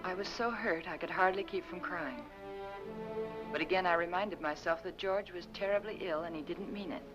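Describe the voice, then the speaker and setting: A woman playing a gentle, anxious wife caring for an ill husband, kindly and motherly, in an old-time dramatic style. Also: fairly low pitch, moderate pace, close to an everyday voice. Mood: hurt, reflective. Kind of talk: old-time dramatic acting with confiding narration. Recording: dull vintage recording, music underneath.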